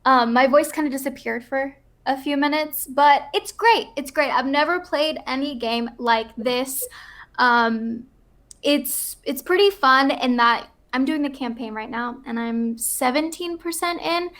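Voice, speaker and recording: Bubbly high-voiced woman gushing about games. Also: unhurried. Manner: bubbly and excitable, self-mocking. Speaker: regular panelist. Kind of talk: casual chat about games.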